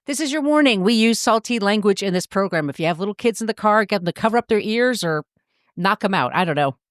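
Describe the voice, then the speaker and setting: A woman's voice: medium pitch, expressive, fast, no echo. Chatty woman podcast host, dry sound. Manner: chatty, jokey, swears casually. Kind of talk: casual podcast chat.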